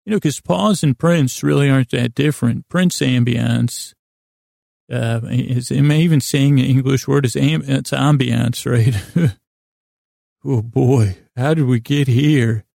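A man hosting a sleep podcast in a creaky, gravelly voice, rambling haltingly and nonsensically with apologetic, self-deprecating asides, recorded in a small closet. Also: mid-range voice, dark tone, no echo, studio quality. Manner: halting, drawn-out, meandering delivery with repeated self-interruptions. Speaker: podcast host.

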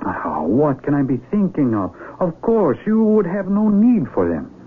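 Earnest, expressive stranded traveler. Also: male voice, on the high side, unhurried, husky, heavily acted. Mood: amazed wonder. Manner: emphatic, wondering, pleading. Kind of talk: radio drama acting. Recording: lo-fi, noisy old radio broadcast.

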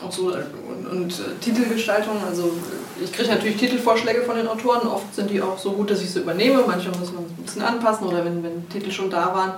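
A woman with a medium-pitched voice explains her work in a casual interview. She sits a little away from the microphone in an echoey room with faint noise. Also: steady tone, fast talker, hardly any pauses, recorded from a distance. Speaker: editor of a pulp novel series, interviewee. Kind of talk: casual spoken interview answers. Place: indoors, shared office. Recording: echoey room, off-mic, noisy.

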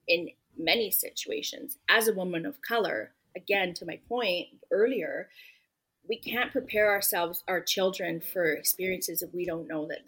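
A woman's voice: deep voice, a bit bright, breathy edge, poor audio. A woman author being interviewed, speaking earnestly and emphatically, often saying "you know". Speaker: guest author. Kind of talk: podcast interview answers.

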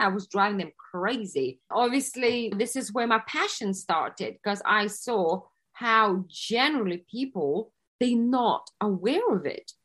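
A Lithuanian woman with an accent, speaking English as a second language, answering interview questions in an earnest, halting way. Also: medium pitch, a bit bright. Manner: halting non-native grammar, earnest. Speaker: guest, wellness coach.

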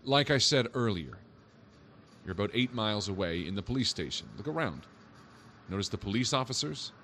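Actor as a low-voiced skeptical cop. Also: male voice, animated, fairly quick, pushed and bright, slight background noise. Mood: impatient, relenting. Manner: doubting, questioning, casually hesitant. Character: skeptical male police officer, wry and placating. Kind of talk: audio drama acting, naturalistic.

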